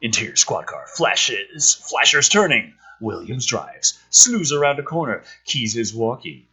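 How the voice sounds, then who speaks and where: Animated, raspy man with a forceful edge. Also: mid-range voice, measured pace. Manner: sweary, sardonic asides; theatrical, animated delivery. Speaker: video host reading a film script aloud. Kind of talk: dramatic script reading with casual commentary.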